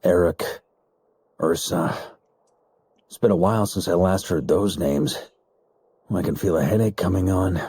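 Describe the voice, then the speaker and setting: A man voicing an easygoing, authoritative grand master of knights in a video game, husky and a little world-weary. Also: sits low, a little slow, long pauses, dark tone, no room sound, exaggerated voice.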